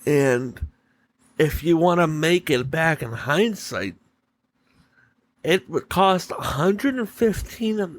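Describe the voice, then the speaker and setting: Slow-talking man hosting a podcast. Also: a little high. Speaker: podcast host. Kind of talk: solo opinion commentary on entertainment.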